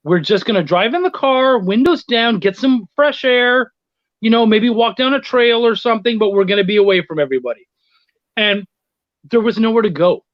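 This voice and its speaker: A middle-aged man telling anecdotes in a casual interview, blunt and quick to swear. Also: high voice, lively intonation, no room sound, highs cut off. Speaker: interview guest, freelance writer.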